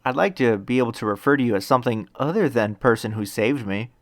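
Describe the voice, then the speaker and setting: A man's voice: mid-range voice, quick pace, no room sound, clean studio sound, natural voice. A man voicing a weak, grateful, confused young woman in a narrated story, hesitant and polite. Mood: curious, polite. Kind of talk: acted audiobook dialogue.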